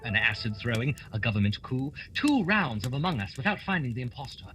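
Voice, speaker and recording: Man acting a theatrical detective, declamatory, dry-witted, forceful tone, animated, radio drama. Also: mid-range voice, fairly quick, faint music behind. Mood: dry, grand. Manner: formal, declamatory. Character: shrewd, theatrical male detective, dryly explaining.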